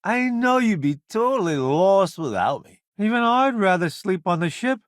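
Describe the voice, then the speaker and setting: An actor playing a vain, chatty celebrity food columnist turned space crew sidekick in a video game, with a theatrical, smug, wisecracking delivery. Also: male voice, high voice, big pitch swings, normal speed, no room sound, studio quality. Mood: smug, charming. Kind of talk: video game companion voice lines.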